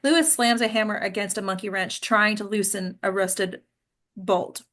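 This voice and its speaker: A woman's voice: medium pitch, steady tone, moderate pace, fairly bright tone. A woman leading an actors' table read, reading and chatting in an informal, encouraging way. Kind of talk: script reading and group discussion.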